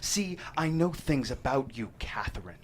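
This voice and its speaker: A man's voice: mid-range voice, very animated, measured pace, husky. An actor at a screenplay table read playing a menacing male killer who talks with polite, condescending civility.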